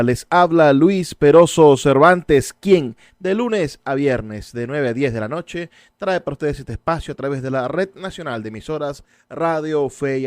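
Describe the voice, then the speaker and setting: A man's voice: fairly high pitch, no echo. A man with a Venezuelan accent presenting and interviewing as a radio host, effusive and wordy, repeating words for emphasis.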